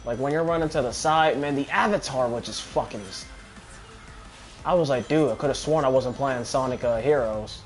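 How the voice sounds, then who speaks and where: Guy doing casual gameplay commentary, rambles and swears a lot, game music pretty loud behind him. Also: medium pitch, normal speed. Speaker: gaming video host. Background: loud video game music.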